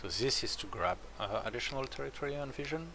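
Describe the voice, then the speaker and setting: A man's voice: mid-range voice, expressive, quick pace, pushed and bright, slight background noise. A French man streaming a strategy game, chatting in English with a French accent, rambling and full of hesitations. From France. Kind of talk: casual chat while playing.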